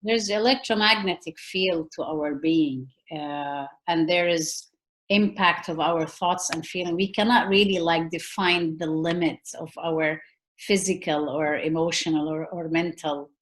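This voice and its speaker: A woman's voice: deep voice, a little slow. Earnest woman with a Middle Eastern accent. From Middle East. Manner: earnest, searching for words with many fillers and restarts. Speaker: guest, peace activist. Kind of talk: reflective conversation. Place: room at home. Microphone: laptop mic on a video call.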